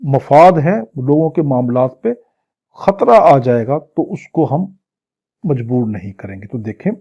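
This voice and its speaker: A man's voice: mid-range voice, warm tone, husky. A man with a Pakistani accent giving an exam-prep law lecture, reading out clauses and explaining them phrase by phrase in a didactic way. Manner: didactic, repeats and rephrases phrase by phrase, poses questions and answers them. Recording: dull, muffled lo-fi audio.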